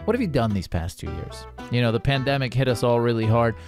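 Podcast host reading an upbeat ad. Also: male voice, medium pitch, expressive, fast talker. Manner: upbeat, emphatic ad read. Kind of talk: podcast hosting and read ad. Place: home studio with bookshelves. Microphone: studio mic on boom arm, headphones. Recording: music under the voice.

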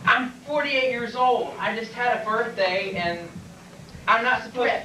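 A man's voice: very high-pitched, a little slow, sharp tone, exaggerated voice. A man playing a nervous, evasive 48-year-old in an amateur stage comedy, stammering, hesitating and pleading. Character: flustered, evasive 48-year-old man dodging an army physical. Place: classroom used as a small stage. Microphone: far camera microphone. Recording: distant, echoey, faintly noisy VHS room recording.

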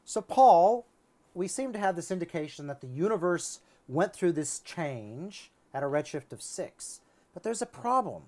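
A man's voice: high voice, big pitch swings, a little slow, warm tone. A middle-aged man giving a conversational science lecture as a lecturer, explaining as he thinks aloud. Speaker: astronomy lecturer. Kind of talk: conversational science lecture in a two-person dialogue.

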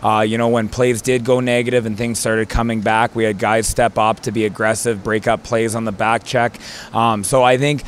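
A man's voice: on the low side, little pitch movement, normal speed, slight background noise. A young man, an assistant coach, answering postgame questions beside a hockey rink, peppering his talk with fillers and "right?". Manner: filler-heavy, repeats words, says "right?". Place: indoor hockey arena rinkside. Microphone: handheld mic held by interviewer.

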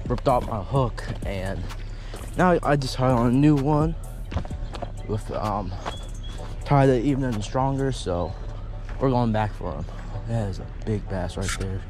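A man's voice: mid-range voice, dark tone. Laid-back young man fishing a pond. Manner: laid-back, talks to the fish and viewers. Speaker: fishing vlogger. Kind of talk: casual first-person fishing commentary. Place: outdoors at a park pond. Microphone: head-mounted action camera. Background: background music.

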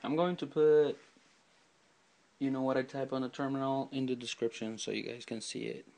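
A man's voice: mid-range voice, fairly even intonation, moderate pace, gravelly, a little mellow. A man talking through a casual computer how-to while filming a laptop screen, spelling out commands letter by letter. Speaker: tutorial maker. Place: indoors at a desk with a laptop. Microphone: handheld camera filming the screen.

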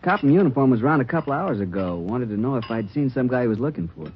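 A man's voice: medium pitch, sing-song, fast, slight background noise, natural voice. An actor playing a talkative, slangy coffee shop counterman in an old radio crime drama. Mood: chatty, casual. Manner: folksy, talkative. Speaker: supporting actor. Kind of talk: 1950s radio acting. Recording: muffled old radio recording.

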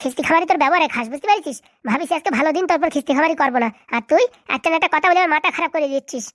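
Woman acting a shrill, bossy madam, very fast. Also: fairly bright tone, dry recording, clean studio sound. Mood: angry scolding. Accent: rural Bengali. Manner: scolding, blustering, insult-laden. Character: bossy, quick-tempered madam, scolding and cursing. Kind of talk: comic cartoon dialogue.

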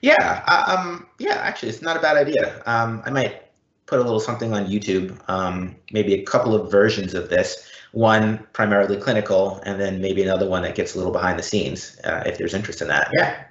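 Low-voiced man presenting, roomy sound. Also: a little fast, a bit bright. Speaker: presenter answering audience questions. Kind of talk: technical explanation in an online Q&A. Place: indoor room, live online event. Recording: dull, roomy online call audio.

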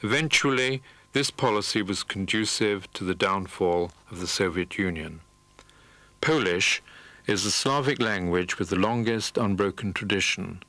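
A man reading scripted documentary narration in a measured, formal way. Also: on the low side, sharp tone, no room sound. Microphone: studio voice-over.

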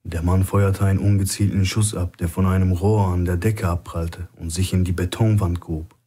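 Low, husky male thriller narrator. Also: level tone, normal speed, warm tone. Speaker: audiobook narrator.

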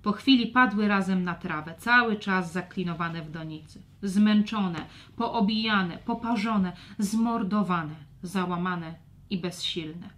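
A woman's voice: on the low side, unhurried, fairly bright tone. A woman narrating a scripted children's story expressively.

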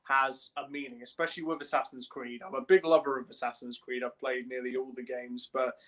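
A man's voice: mid-range voice, flat delivery, fairly quick, fairly bright tone. A young man co-hosting a casual podcast, explaining things in detail like an enthusiastic fan. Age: young adult. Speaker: podcast co-host. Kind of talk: casual discussion of games and films. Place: small room at home, on a video call.

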